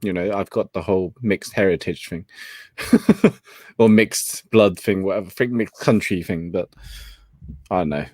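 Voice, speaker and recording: A man from England, whose was Cantonese. He hosts a casual talk show and speaks in a halting, reflective way, full of fillers. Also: deep voice, darkish tone, no echo. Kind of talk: casual conversation.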